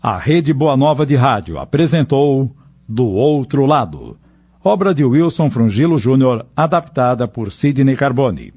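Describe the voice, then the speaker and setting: A man's voice: medium pitch, big pitch swings, unhurried, warm tone, breathy edge. A man reading scripted radio drama narration in a formal announcer style. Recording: dull lo-fi radio, music behind.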